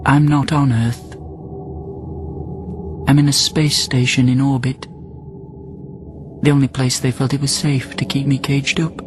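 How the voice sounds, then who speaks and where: An actor playing a lonely immortal prisoner on a space station, narrating reflectively in a measured radio drama monologue. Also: male voice, mid-range voice, noisy background, close to an everyday voice. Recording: loud music bed under the voice.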